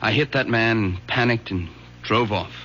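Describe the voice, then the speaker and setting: Serious young son, resolute, old radio drama, bit low but sharp, slightly hissy. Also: male voice, unhurried, dull audio. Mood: sober, confessing. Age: young adult. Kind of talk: 1970s radio drama acting.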